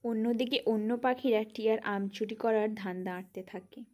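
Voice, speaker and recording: A woman's voice: on the high side, a little fast, darkish tone. A woman reading scripted narration for a children's cartoon story.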